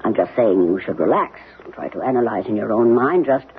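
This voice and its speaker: An actor playing a calm, frank psychiatrist in a 1970s radio drama, talking in a measured, probing way. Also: male voice, lowish voice. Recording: lo-fi 1970s radio broadcast, noisy.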